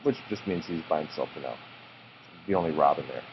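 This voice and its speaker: A man reading a children's picture book aloud to his child in a slow, drawn-out storytelling way, with informal asides. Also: extremely low pitch, lively intonation. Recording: muffled home recording with background noise.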